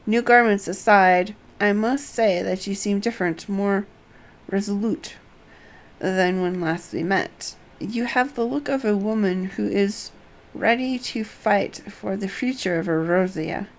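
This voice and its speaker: A woman voicing an earnest, polite young nobleman from a fantasy game in formal, measured phrases. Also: mid-range voice, close to an everyday voice.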